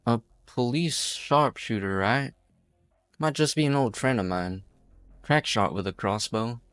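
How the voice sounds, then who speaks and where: A man playing a weary, apologetic outlaw in an amateur game fan dub, speaking in a measured, resigned way. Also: medium pitch, fairly bright tone, smooth, dry recording, soft background music, natural voice. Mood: resigned, wry. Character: weary, apologetic outlaw hostage-taker, a former lawyer.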